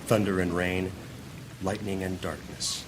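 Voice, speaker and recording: A man's voice: sits low, steady tone, measured pace, background noise. A man narrating a fantasy audio drama as a solemn, dramatic storyteller. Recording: loud music and rain behind voice. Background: music and rain.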